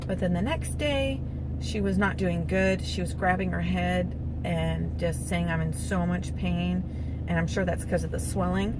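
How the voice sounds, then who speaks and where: Hoarse, tired middle-aged woman over loud noise. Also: fairly low pitch. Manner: tired, rambling, heartfelt. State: hoarse, recovering from a lost voice. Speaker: vlogger. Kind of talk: casual personal update. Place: at home.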